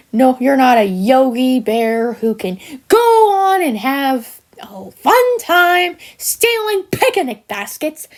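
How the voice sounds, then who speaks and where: A woman voicing a smug, villainous cartoon sheep creature who taunts in a theatrical, mocking manner with drawn-out words and hesitant asides. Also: high voice, exaggerated voice. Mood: mocking, bitter. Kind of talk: scripted character monologue for a fan voice-over.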